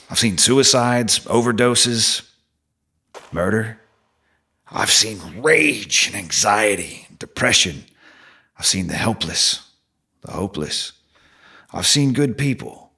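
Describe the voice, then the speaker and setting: An actor playing a blunt, needling, foul-mouthed streetwise stranger who lives in the subway, in an audio drama. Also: male voice, lowish voice, pushed and bright, breathy edge, full character voice. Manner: blunt, profane, probing.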